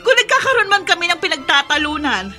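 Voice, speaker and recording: Weary Filipino daughter, very high voice. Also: female voice, very animated, quick pace, bright, forceful tone, faint music behind, heavily acted. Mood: tearful pleading. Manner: pleading, long-suffering, emotional. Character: weary, devoted middle-aged daughter caring for her father. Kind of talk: Filipino radio drama acting.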